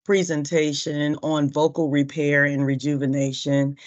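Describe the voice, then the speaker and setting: A hoarse woman, a workshop participant and singer, asking a question politely and hesitantly with many fillers. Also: sits low, little pitch movement, a little slow. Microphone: video call. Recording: dull lo-fi video call audio.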